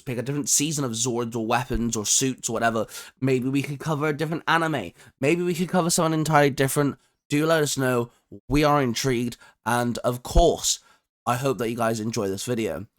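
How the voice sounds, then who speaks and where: Man, channel host, casual review chat, conversational, mid-pitched voice. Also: fairly bright tone. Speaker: host of a fan video channel. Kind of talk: casual chatty video review.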